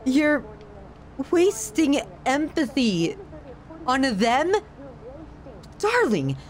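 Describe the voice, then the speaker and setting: Sadistic yandere lover, played by a woman for horror ASMR: obsessive, menacingly affectionate, very lively intonation, unhurried. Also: medium pitch. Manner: theatrical, swings from sweet to scornful, sighing. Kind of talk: ASMR horror roleplay monologue.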